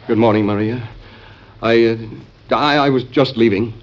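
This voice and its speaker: An actor with an American accent playing a respectful young aide in love, earnest and deferential, in an old-time radio drama. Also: male voice, mid-range voice, a little slow, close to an everyday voice. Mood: awkward, subdued. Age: young adult. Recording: muffled old radio recording.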